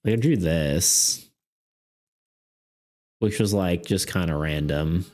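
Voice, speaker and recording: A man's voice: sits low, slow pace, darkish tone. A young man streaming casually about art and game design, rambling with lots of fillers and laughs, saying he is losing his voice. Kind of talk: casual live-stream chat. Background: faint background music.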